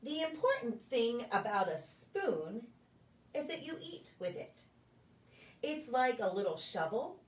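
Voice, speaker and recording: A woman reads a picture book to small children in a sing-song storytelling style. Her voice sits a little high and swings widely in pitch. She is heard from a bit of a distance from the microphone, in a deliberate delivery meant for preschoolers. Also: sing-song. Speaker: pastor reading stories to preschool children. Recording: echoey room, distant microphone.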